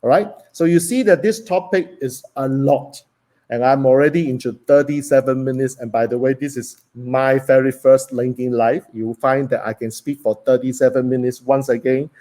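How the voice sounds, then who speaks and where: A man with a strong Hong Kong accent hosting a solo live stream, talking energetically to viewers with frequent restarts and "okay"s. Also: mid-range voice. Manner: energetic, voice rising then dropping, frequent okays and restarts.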